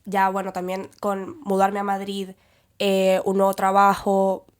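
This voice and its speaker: Young woman, Venezuelan Spanish accent, thoughtful, casual chat, pauses and fillers. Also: medium pitch, monotone. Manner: reflective, thinks aloud with fillers and restarts. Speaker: podcast guest. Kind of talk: casual conversation.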